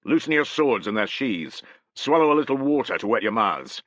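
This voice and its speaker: A man's voice: mid-range voice, sing-song, moderate pace, fairly bright tone, dry recording, muffled recording. A man voicing a battle-hungry Roman centurion, bellowing rousing orders to his soldiers in an audiobook.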